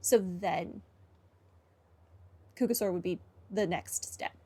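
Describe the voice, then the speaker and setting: A woman's voice: lowish voice, slow pace, mellow, slight background noise. A woman giving casual playthrough commentary, explaining her opinions conversationally as she reacts. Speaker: game streamer. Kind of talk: casual playthrough commentary and reading dialogue choices.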